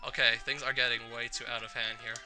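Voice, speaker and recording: A game player reading a visual novel's narration aloud in an expressive storytelling style. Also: medium pitch, quick pace, over music.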